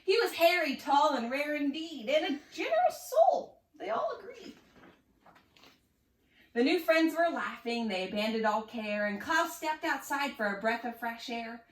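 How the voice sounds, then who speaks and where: A woman's voice: sits high, very animated, measured pace. A woman expressively reading a rhyming children's story aloud. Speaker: storybook reader. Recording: echoey room, distant mic.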